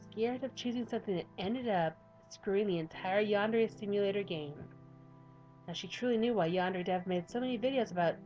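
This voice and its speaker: A woman's voice: mid-range voice, muffled recording. A woman streaming a game, reading a visual novel's text aloud and reacting between passages. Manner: expressive, reads text then reacts with sighs and laughs. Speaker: gameplay streamer.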